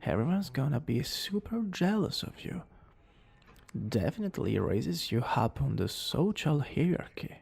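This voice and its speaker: Man acting a cocky, creaky-voiced young villain boyfriend. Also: on the high side, big pitch swings, a little slow, darkish tone, exaggerated voice. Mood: teasing, boastful. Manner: smug, theatrical, teasing. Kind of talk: romantic ASMR roleplay acting.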